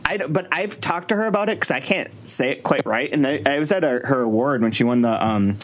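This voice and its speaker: A man's voice: mid-range voice, fast. A middle-aged American man telling casual anecdotes, acting out bits of dialogue as he goes. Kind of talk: casual chat. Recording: clear background noise.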